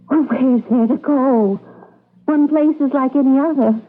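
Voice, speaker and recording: An actress in a 1980s radio drama playing a gentle, wistful young wife who questions hopefully. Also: female voice, high-pitched, slow pace, phone-quality sound. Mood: curious, bored. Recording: band-limited old radio broadcast, music underneath.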